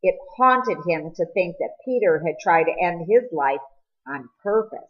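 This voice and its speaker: A woman reading a story aloud as scripted audiobook narration, with an expressive delivery. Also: fairly low pitch, measured pace, highs cut off. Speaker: audiobook reader.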